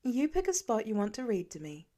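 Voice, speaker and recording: Woman acting storybook mother, warm, teasing, high voice, very expressive. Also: brisk. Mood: affectionate, playful. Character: warm, playful mother of young children.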